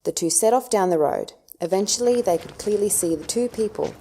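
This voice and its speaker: A woman's voice: medium pitch, animated, a little fast, warm tone, a little hiss or hum. A woman narrator reading scripted story narration for an audio drama. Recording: music underneath.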